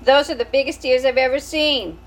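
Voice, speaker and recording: Nosy little mouse children, as a woman reader plays them, high and squeaky with a blunt, childish edge. It is a storybook voice, raised well above her narration. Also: steady tone, brisk, close to an everyday voice.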